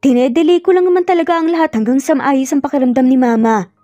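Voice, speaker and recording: A woman's voice: sits high, fast, dark tone, lightly acted. Woman doing a sorry lovesick young guy boss, pleading n earnest, romance story, bit echoey w music. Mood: pleading, apologetic. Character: remorseful, pleading young male boss in love.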